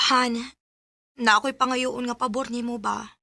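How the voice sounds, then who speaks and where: A sweet caring young bisaya girlfriend in a radio drama, bright voice kinda high. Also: steady tone, measured pace, gravelly, heavily acted. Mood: sweet, coaxing. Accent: Filipino, Cebuano Bisaya.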